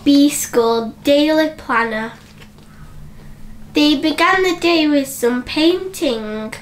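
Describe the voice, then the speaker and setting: High-pitched, very slow woman storyteller. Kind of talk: children's bedtime story narration.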